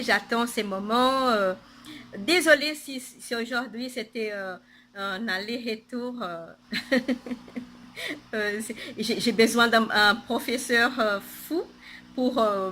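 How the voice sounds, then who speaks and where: A woman whose first language is Portuguese talks through her knitting projects in a bright, clear voice with a Portuguese accent. She speaks slowly and haltingly, with frequent fillers, restarts and small laughs. A faint room hum sits behind her. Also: a little high. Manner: hesitant, many fillers and restarts, laughs often. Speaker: knitting podcaster. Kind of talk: casual knitting vlog.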